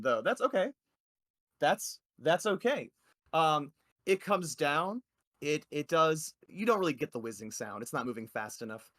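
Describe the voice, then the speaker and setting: A man's voice: mid-range voice, fairly bright tone. A man narrating a tabletop game as game master in a casual, hesitant, conversational way.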